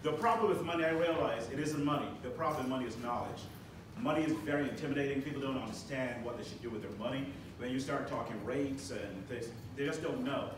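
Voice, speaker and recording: Earnest man pitching in an echoey room. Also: quick pace. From Barbados. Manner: earnest, teaching tone with direct questions. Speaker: financial advisor pitching at a networking meeting. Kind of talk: short self-introduction pitch. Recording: echoey room, mic far off, noisy.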